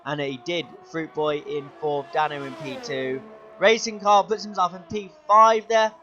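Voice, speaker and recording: A young man commentating his own sim race, his voice going hoarse, excitable and shouting at the big moments. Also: on the high side, a little slow, lo-fi sound, faint music behind. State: losing his voice. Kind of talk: gaming commentary.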